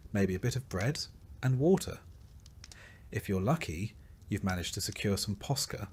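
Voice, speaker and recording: A man's voice: on the low side, darkish tone. A man narrating a scripted story in the second person with a dry, wry delivery.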